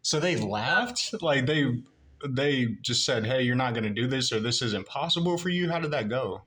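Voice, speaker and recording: A man's voice: medium pitch, expressive, normal speed, a bit bright. A man hosting an interview, asking questions casually and enthusiastically with many fillers. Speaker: podcast host, interviewer.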